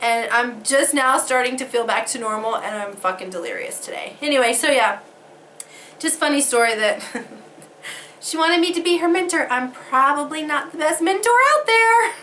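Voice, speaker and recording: A woman chatting to her camera, her voice fairly high and very bright but wearing thin from a head cold. She laughs a lot and swears in passing. A faint hiss sits under the recording. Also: expressive, moderate pace. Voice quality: laughs often, swears casually. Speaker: vlogger. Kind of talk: casual chat to camera.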